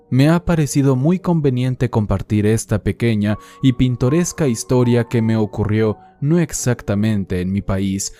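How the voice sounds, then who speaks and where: A man calmly narrating horror stories sent in by listeners, in a measured, somber reading. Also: medium pitch. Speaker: horror story channel narrator. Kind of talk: scripted horror narration read from listener letters. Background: faint music.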